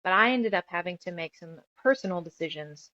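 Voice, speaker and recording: A woman's voice: fairly low pitch, animated, brisk, pushed and bright, no room sound, muffled recording. A woman from the United States speaking as a creative guest in a casual interview, talkative and reflective as she rambles through her thoughts. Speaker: guest, author, musician and audio drama creator.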